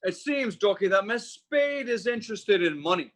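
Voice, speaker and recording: A man playing a high-voiced, flustered henchman. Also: animated, normal speed, a bit bright. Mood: nervous, apologetic. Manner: stammering, deferential, wordy. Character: flustered, fawning talkative henchman to a crime boss. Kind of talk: old-time radio detective drama acting.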